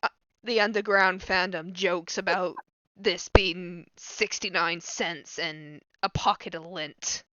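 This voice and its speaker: A woman hosting a casual watch-along who is getting over a cold, an eager fan who laughs often and makes quick asides. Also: fairly low pitch, fairly even intonation, bright, forceful tone, no room sound, lo-fi sound. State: recovering from a cold. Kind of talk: casual chat.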